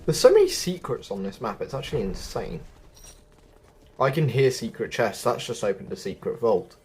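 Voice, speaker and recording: Man with lively, swooping gameplay commentary. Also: mid-range voice, moderate pace, slightly rough. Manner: chatty, thinking aloud. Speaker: video game guide host. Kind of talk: casual gameplay walkthrough commentary.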